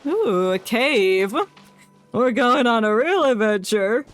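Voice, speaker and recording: A woman's voice: fairly high pitch, animated, takes it slowly. A woman doing casual, playful live game commentary as a streamer, joking along. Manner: playful, jokey. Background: game music.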